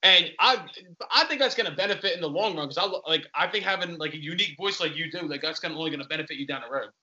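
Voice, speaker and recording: Philly guy hosting a podcast, high bright voice, cussing and rambling, muffled audio. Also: racing. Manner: loose, profane, rambling. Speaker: podcast host. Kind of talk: casual interview chat.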